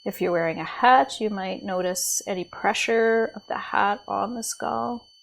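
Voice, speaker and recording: A woman leading a guided body scan meditation as a teacher, speaking in a gentle, soothing, unhurried way with pauses. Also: mid-range voice, vocal fry, a little hiss or hum, poor audio.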